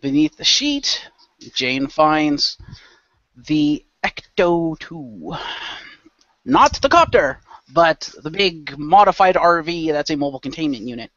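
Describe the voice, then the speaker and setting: A man's voice: fairly high pitch, animated, slow pace, fairly bright tone, dry recording, highs cut off. A man acting as game master, narrating a role play in a casual, improvised and slightly sweary way. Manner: informal, mildly profane.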